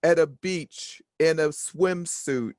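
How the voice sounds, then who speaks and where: A woman with a deep voice, a workshop attendee sharing a personal story over a video call, halting and repeating her words. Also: a little mellow, dry recording, studio quality.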